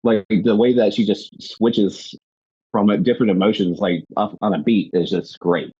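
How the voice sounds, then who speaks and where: Husky low man on a dull mic. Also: fairly even intonation, moderate pace, dark tone, dry recording. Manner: earnest, enthusiastic, filler-heavy. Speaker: podcast guest. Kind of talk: casual movie discussion.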